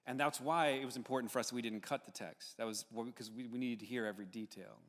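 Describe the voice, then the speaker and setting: A man's voice: mid-range voice, fairly even intonation, fast. A man hosting and moderating a live audience discussion, recorded in a large hall. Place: large hall, live event with video call. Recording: echoey hall, long reverb.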